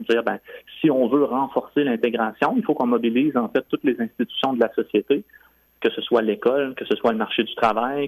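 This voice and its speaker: A man with a Quebec French accent giving measured, step-by-step explanations as a guest in a radio interview. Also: mid-range voice, slightly rough, no room sound, rough recording. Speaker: guest, French-language commissioner.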